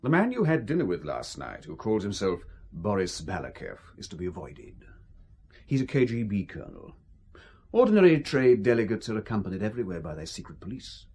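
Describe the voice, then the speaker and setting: A man's voice: on the low side, huge pitch swings, brisk, darkish tone, breathy edge, exaggerated voice. A man with a British accent playing a suave, coercive intelligence officer, condescending and coolly menacing, in an audio drama. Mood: cool, informative. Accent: British English.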